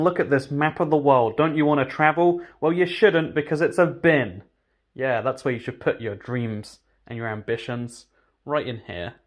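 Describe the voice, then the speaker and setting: A man vlogging through city streets and stations, making wry jokes to the camera on his phone. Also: a little high. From England. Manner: wry, joking asides to the camera. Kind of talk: casual travel vlog commentary. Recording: phone recording with street noise.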